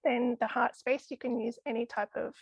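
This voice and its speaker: Woman, husky, fairly high voice, losing her voice, chatty podcast guest. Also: fairly even intonation, normal speed, no room sound, dull audio. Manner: enthusiastic, chatty with frequent laughs. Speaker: crystal healing guest. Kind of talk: casual podcast interview.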